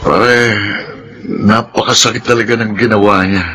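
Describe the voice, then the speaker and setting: An actor playing a lovesick, persistent young suitor in a Filipino radio drama, plaintive and earnest. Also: male voice, lowish voice, fairly even intonation, moderate pace, loud music behind the voice, close to an everyday voice. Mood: heartbroken.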